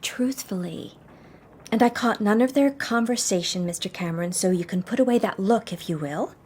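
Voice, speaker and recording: An actress playing a poised, sharp-witted young socialite who works secretly as a detective, composed and arch in a radio drama. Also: female voice, medium pitch, moderate pace, husky, natural voice. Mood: composed, guarded. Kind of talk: audio drama acting.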